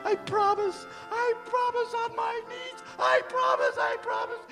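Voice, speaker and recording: Very high-pitched, emotional old miser. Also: male voice, very animated, full character voice. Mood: desperate pleading. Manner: theatrical, halting, pleading delivery. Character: repentant old miser, anguished, pleading, wildly emotional. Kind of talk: stage radio drama acting. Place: performing arts center stage. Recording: reverberant theatre hall, music underneath.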